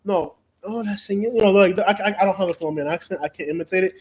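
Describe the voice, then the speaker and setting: A man's voice: high voice, fast. A man from Cuba, hosting a casual live chat and rambling with frequent restarts and fillers. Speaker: host.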